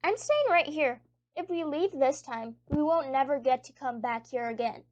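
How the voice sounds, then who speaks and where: A woman's voice: high voice, animated, moderate pace, slight background noise. A female performer playing a stubborn, naive little country boy in a radio drama, with plain childlike talk and a slightly muffled sound. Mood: reluctant.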